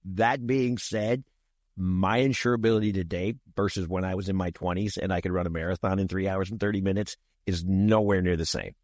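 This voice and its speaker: Older guy in his 60s, really deep voice, folksy podcast chat, dry close mic. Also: fairly even intonation, a little fast, darkish tone, no echo. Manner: folksy, repeats himself, chuckles. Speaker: podcast co-host. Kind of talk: casual podcast discussion.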